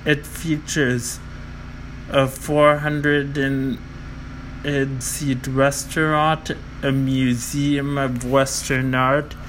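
A man slowly reading out a list of world records, halting often with fillers and stumbling over foreign names. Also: medium pitch, fairly bright tone, a little hiss or hum, rough recording. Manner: slow, halting, with many fillers and self-corrections.

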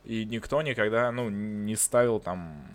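A man's voice: lowish voice, measured pace. A man from Russia in casual chat, talking bluntly and swearing often. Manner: swears a lot, animated and blunt. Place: lives in Israel.

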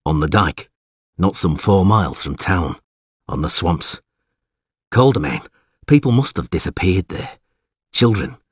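A man voicing a terse, weary monster hunter with dry wit in an audiobook, speaking in a laconic, deadpan way. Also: low voice, unhurried, pauses often, husky, dry recording, exaggerated voice. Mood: grim, matter-of-fact. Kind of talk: audiobook character acting.

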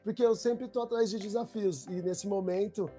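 Young são guy, chill slangy storytelling, warm mellow voice. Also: high-pitched, normal speed, soft background music. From Brazil. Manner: slangy street talk, relaxed. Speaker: documentary maker, guest. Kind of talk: casual storytelling chat.

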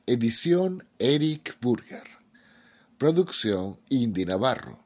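A husky-voiced man reading out scripted credits as a radio drama announcer. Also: medium pitch, very animated, slow pace, a little mellow.

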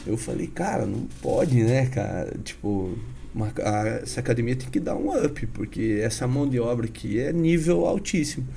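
Chatty Brazilian man, dark mellow voice. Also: mid-range voice, moderate pace, creaky, a little hiss or hum. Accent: Paraná interior Brazilian Portuguese. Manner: animated, chatty, frequent "cara" and "né". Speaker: podcast guest. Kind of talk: casual storytelling interview.

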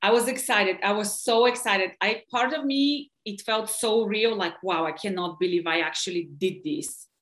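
A woman's voice: medium pitch, moderate pace, few pauses, a bit bright, echoey room. A woman from Bulgaria with a Bulgarian accent, talking with enthusiasm as a podcast guest and laughing often. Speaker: guest, body-mind coach. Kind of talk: podcast interview.

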